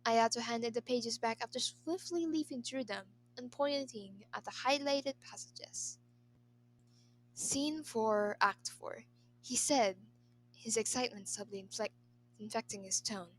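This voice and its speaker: A woman's voice: fairly high pitch, normal speed, slight background noise, poor audio. A woman narrating a scripted story as an amateur reader. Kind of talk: scripted narration of a fan fiction.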